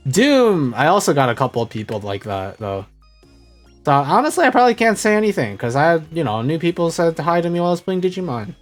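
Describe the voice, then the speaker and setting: A young man chatting casually as a streamer, rambling and correcting himself, often trailing off quietly. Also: on the high side, sing-song, quick pace, soft background music. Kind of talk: casual rambling chat while gaming.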